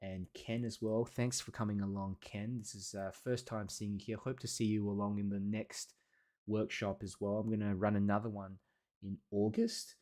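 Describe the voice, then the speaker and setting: Male live workshop host: lowish pitch, informal, encouraging, hesitant delivery with many pauses. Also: little pitch movement, dark tone. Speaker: watercolour teacher hosting a live workshop. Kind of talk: casual livestream chat reading and answering viewer comments.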